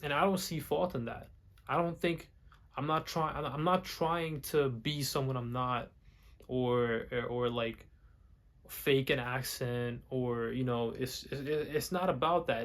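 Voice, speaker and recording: A young man, not a native English speaker, talking casually to the camera for a vlog, often repeating words and restarting his sentences. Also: mid-range voice. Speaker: vlogger.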